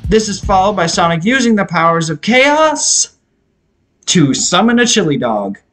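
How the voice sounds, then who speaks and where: A man's voice: high voice, very animated, normal speed, a bit bright. A man delivering a scripted film review as an earnest, opinionated fan, speaking as a video essayist. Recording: music playing behind the voice. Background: guitar rock music.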